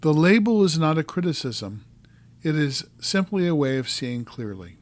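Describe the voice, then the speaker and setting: A man narrating a guided meditation as a coach, giving calm, gentle instructions in short, measured phrases. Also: fairly high pitch.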